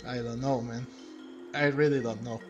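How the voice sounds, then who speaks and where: A man giving casual commentary on a game playthrough in a halting, rambling way. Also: medium pitch, steady tone, muffled recording. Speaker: gaming video host. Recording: faint music behind.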